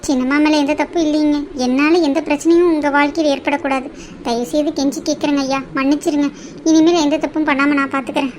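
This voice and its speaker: A meek, loyal housemaid in a family melodrama acted by a woman, with a high voice. She pleads deferentially and apologises, anxious to keep the peace. Faint noise sits behind her. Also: fairly even intonation, fast talker, close to an everyday voice. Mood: tearfully apologetic.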